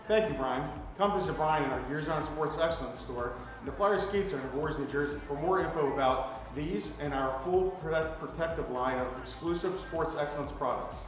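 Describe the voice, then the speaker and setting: A man's voice: on the high side, fairly quick, hardly any pauses, music in the background. A man hosting a scripted promo video for a hockey store, reading steadily. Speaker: host. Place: hockey gear store, indoors. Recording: echoey room from far off.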